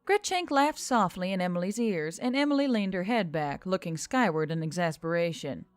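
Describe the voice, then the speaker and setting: A woman reading scripted fiction as an expressive story narrator. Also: lowish voice, moderate pace, clean tone, dry recording, soft background music. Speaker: audiobook narrator.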